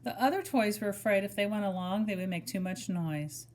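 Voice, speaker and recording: A woman reading a children's story aloud in a measured, expressive manner, as if to young children. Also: medium pitch, a little hiss or hum. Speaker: storybook reader. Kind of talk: children's story read-aloud with brief asides to listeners.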